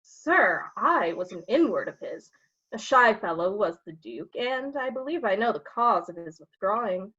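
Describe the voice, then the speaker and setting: A woman acting a bawdy, slanderous gentleman rogue in a staged Shakespeare reading, with a cheeky, insinuating, theatrical delivery. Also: mid-range voice, lively intonation, normal speed, slightly rough, highs cut off, heavily acted. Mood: conspiratorial, slanderous.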